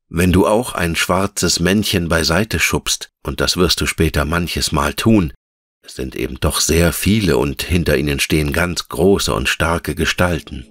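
A man voicing a wise, gentle female elf in a fairy-tale audiobook, speaking in a measured, patient and tender way. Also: rumbling low, no echo, studio quality, full character voice. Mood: solemn, gentle. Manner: measured, patient, lyrical explaining.

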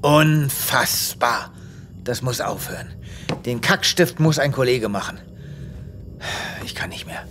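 A man's voice: medium pitch, expressive, slow pace, long pauses, background noise. An actor playing a weary, exasperated male space veterinarian in an audio drama, dramatic and given to muttering. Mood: weary, fed up. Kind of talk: German audio drama acting.

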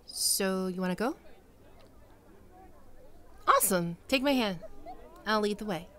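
A woman's voice: mid-range voice, expressive, a little slow, bright, forceful tone, slight background noise. A woman playing a friendly young female ghoul best friend in an ASMR roleplay, chatty and playful. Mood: friendly, casual. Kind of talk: ASMR roleplay, acted one-sided dialogue.

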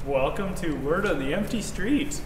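A man hosting short interviews with school staff, upbeat and quick to laugh. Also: a little high, expressive. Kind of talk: casual interviews. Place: empty school hallway. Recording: echoey hallway with ambient noise.

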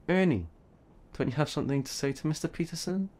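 An actor playing a bossy, responsible teenage eldest brother in an audio drama, stern and scolding. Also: male voice, fairly high pitch, darkish tone.

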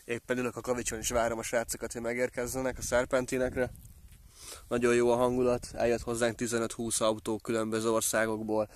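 A young man talking casually to camera as a vlogger, filmed outdoors at arm's length. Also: medium pitch. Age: young adult. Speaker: vlogger filming behind the scenes. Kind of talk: casual vlog talk to camera. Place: outdoors on a rocky coastal hillside.

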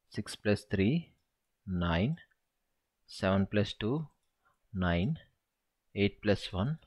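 A man's voice: fairly low pitch, a little mellow. A man tutoring SQL in a screen-recorded walkthrough, thinking aloud and counting through each step.

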